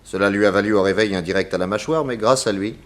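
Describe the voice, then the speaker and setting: An actor playing a shrewd, authoritative detective in a 1960s French radio drama, theatrical, deliberate and accusatory. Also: male voice, on the low side, close to an everyday voice. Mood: stern, explaining.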